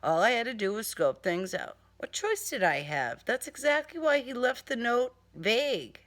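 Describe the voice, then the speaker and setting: A woman's voice: on the high side, sing-song, moderate pace, a bit bright. A woman reading a crime story in a dramatic first-person style, with a sardonic, theatrical delivery. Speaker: author reading own crime novel.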